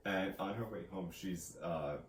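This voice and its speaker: A man with a low voice retells a story in a loose, conversational vlog style. He rambles and hesitates as he goes, slipping into casual quotes. The room is echoey, with faint noise behind him. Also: unhurried. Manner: rambling, hesitant, quoting characters in casual asides. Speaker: co-host of a book discussion vlog. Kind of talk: casual chat, retelling a novel's plot.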